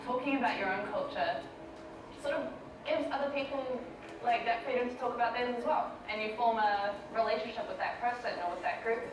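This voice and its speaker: A woman's voice: medium pitch, noisy background. A young woman with a New Zealand accent, a poet and student, talking casually in an interview and using fillers like sort of. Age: young adult. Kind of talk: casual interview for a short video. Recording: echoey, distant, with music behind.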